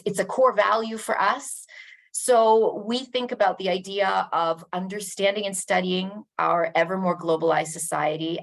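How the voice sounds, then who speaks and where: A woman from Canada who works in a university's international office, giving a measured webinar presentation with frequent ums and uhs. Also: lowish voice, gravelly, fairly bright tone.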